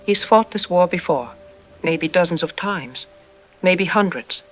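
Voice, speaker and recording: A man acts as a grave archaeology doctor interviewed on apocalyptic radio drama news. The voice is high, deliberate and troubled, pausing ominously before key words. Music and rain run underneath. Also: expressive, rough recording. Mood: urgent, ominous.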